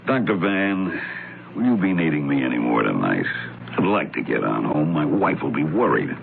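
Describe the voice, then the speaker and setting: A gravelly, grumbling reporter from a radio mystery. His voice swings expressively and sits very low. The old broadcast has a faint hiss. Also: very animated, moderate pace, narrow, tinny audio, heavily acted. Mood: grumbling, weary. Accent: American. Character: brisk, grumbling male newspaper reporter and sleuth's sidekick. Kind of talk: radio mystery drama acting.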